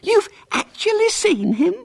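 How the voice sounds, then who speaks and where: A man reading a story, voicing an excitable, starstruck middle-aged aunt who gushes breathlessly. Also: extremely high pitch, unhurried, gravelly, voice pushed up, heavily acted. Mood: excited. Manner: gushing, breathless.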